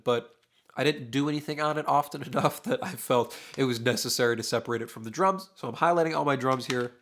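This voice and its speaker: A young man, a mixing engineer, talking through a studio tutorial in a casual, thinking-aloud way, his voice a little worn. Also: mid-range voice. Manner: relaxed, thinking aloud with many uhs while working. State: losing his voice. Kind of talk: casual screen-recorded tutorial. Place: home studio with drums behind.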